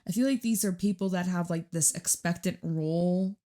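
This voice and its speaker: Hoarse woman, raspy, lowish voice, casual tarot reading, chatty and reassuring. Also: moderate pace, dark tone. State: hoarse from screaming. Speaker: tarot reader.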